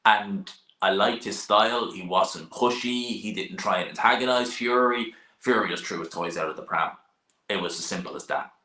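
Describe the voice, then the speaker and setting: A young Dublin man with an Irish accent chats loosely through viewer questions, repeating phrases and using casual slang. His voice is low but sharp and forceful. The room around him rings with echo. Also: normal speed. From Ireland. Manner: rambling, repeats himself, informal slang. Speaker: boxing channel host. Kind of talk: live stream chat answering viewer questions.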